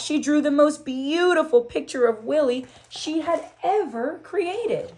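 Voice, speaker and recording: A woman reading a children's book aloud in an expressive, deliberate storytelling style with emphatic phrasing. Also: fairly high pitch.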